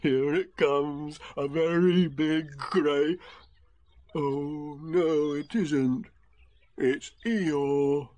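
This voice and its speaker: A man's voice: fairly high pitch, steady tone, extremely slow, darkish tone, no echo. A man voicing a kindly, simple-minded male teddy bear who talks hesitantly. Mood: gentle. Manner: hesitant and muddled.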